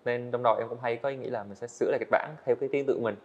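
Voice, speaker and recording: Young man, quick earnest speech, slightly dull audio, indoor studio set. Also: mid-range voice, fairly even intonation. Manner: earnest, explains step by step. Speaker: guest animator. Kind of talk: casual interview answers.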